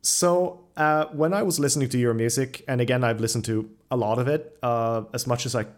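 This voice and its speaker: A man hosting a casual interview, speaking haltingly and appreciatively as he rephrases his questions. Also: mid-range voice, fairly even intonation. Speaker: podcast interviewer, host.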